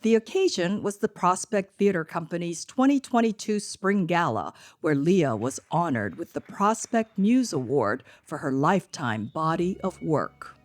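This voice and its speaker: A middle-aged woman correspondent narrating a scripted news feature with measured delivery. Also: lowish voice, expressive. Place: living room set.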